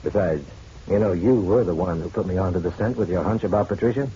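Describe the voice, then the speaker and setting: An actor as a low-voiced hardboiled private eye. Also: male voice, warm tone, a little hiss or hum, close to an everyday voice. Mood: wry. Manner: wry, unhurried, tough. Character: hardboiled male private detective, dry and worldly. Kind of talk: radio drama acting with noir first-person narration. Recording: dull lo-fi radio drama recording.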